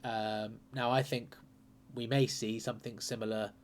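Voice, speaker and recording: Croaky-voiced man chatting through election data. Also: mid-range voice, little pitch movement, normal speed, slight background noise. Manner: chatty, hesitant, lots of ums and uhs. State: croaky with a sore throat and cold. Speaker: political commentary channel host.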